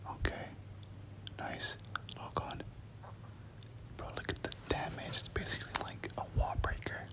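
A person doing whispered ASMR game commentary, speaking casually in gamer slang and often repeating short phrases. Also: unhurried. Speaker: gameplay commentator.

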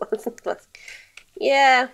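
A woman's voice: high-pitched, extremely slow, gravelly, fairly bright tone, poor audio. A young adult woman livestreaming a game, chatting casually and playfully with viewers and exclaiming at the screen. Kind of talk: casual gaming stream chat.

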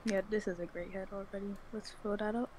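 A young woman co-hosting a casual livestreamed podcast, chatty and teasing with quick asides. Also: medium pitch, fairly even intonation, a little mellow, a little hiss or hum, poor audio. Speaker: podcast co-host.

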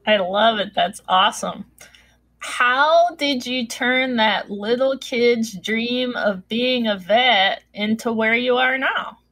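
Bright upbeat lady host asking scripted questions. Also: a little high, takes it slowly, low-quality recording. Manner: enthusiastic, upbeat.

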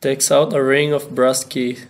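A man's voice: mid-range voice, level tone, unhurried. A man reading a poem aloud as a student, in a poetry recital style.

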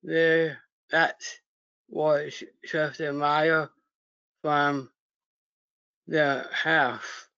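A man reading a children's story aloud haltingly, in short phrases with pauses and stumbles, over a video call. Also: on the high side, little pitch movement, pushed and bright, clean tone, dry recording, muffled recording. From United States. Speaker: story reader.

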